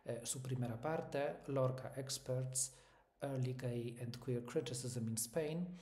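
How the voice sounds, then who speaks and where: Male Hispanist scholar, native Polish speaker, soft dark timbre, measured formal presentation, room echo. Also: medium pitch. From Poland. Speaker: academic, Hispanist researcher. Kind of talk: prepared academic book presentation. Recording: echoey room sound.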